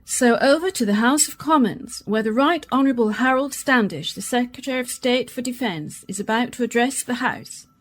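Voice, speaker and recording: A woman playing a news reporter and newsreader in a radio play, with a clear broadcast delivery. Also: a little high, moderate pace, few pauses, a little hiss or hum, close to an everyday voice. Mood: formal.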